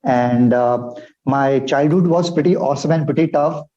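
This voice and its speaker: A man from India with an Indian English accent, telling his life story in a relaxed way as a podcast guest, with many fillers. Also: medium pitch, moderate pace, lo-fi sound. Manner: relaxed, uses many fillers like uh and you know. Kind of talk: casual interview storytelling.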